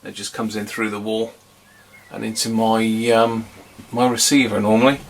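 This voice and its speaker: Low-pitched man over clear background noise. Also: level tone, moderate pace. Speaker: vintage radio hobbyist presenter. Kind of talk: casual hands-on demonstration commentary.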